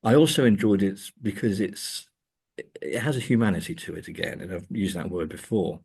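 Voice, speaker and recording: Low, husky-voiced man in discussion. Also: a little mellow. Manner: hesitant, halting delivery with repeated words. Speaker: interview guest, academic author. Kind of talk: thoughtful interview discussion of screen adaptations.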